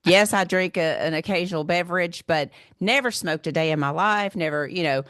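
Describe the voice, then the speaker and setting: A woman hosts a relaxed podcast conversation in a husky, worn voice she puts down to years of yelling at ball games. Her tone sits a bit low, and the close mic sounds dry with no room around it. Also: a little fast, dry recording. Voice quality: husky, smoker-like voice. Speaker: podcast host. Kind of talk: casual chat.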